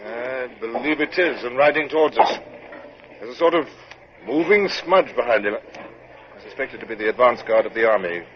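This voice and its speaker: An actor playing a self-possessed British naval captain who gives brisk, clipped orders in a 1950s radio drama. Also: male voice, mid-range voice, expressive, close to an everyday voice. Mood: restrained, businesslike. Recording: noisy muffled 1950s radio recording.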